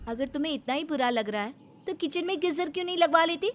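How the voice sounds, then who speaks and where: A woman's voice: sits high, lively intonation, fast talker, faint music behind, pitched above the performer's own voice. A woman playing a proud, resentful young wife in a family story, complaining indignantly. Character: proud, resentful young wife missing her rich home. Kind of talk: dramatised family story acting.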